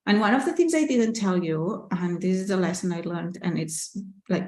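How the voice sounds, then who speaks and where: A woman's voice: fairly low pitch, darkish tone. A woman with a Catalan accent, whose first language is Catalan, talks casually through a live question-and-answer session, reading out viewer questions. She sounds candid and chatty, full of "like" and "you know". The room around her is roomy and echoey. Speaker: artist and business owner hosting a live Q&A.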